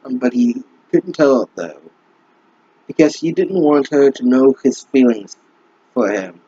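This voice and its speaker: Brief: young man, husky, slow, sarcastic and swearing, dull lo-fi close mic. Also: medium pitch, lively intonation, warm tone, no room sound. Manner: sarcastic, exasperated, swears often. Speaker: fanfic reader and commentator. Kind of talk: mocking commentary on a bad story.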